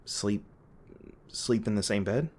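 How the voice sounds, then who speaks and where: A man's voice: lowish voice, takes it slowly, darkish tone. A man playing an awkward, protective young monster hunter, speaking bashfully to the listener with frequent hesitations in an audio roleplay. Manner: hesitant, bashful, stumbling over words. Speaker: roleplay voice actor.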